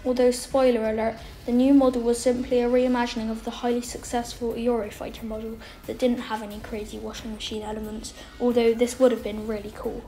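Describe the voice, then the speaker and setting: A woman's voice: fairly high pitch, level tone, a little fast, few pauses, mellow, poor audio. A woman reading a scripted documentary narration in an explanatory style.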